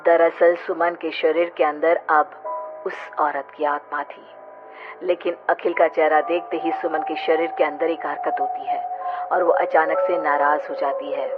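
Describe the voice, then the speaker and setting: A woman's voice: low-pitched, little pitch movement, a little slow. A woman giving dramatic scripted narration of a horror story. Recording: muffled audio with loud music bed.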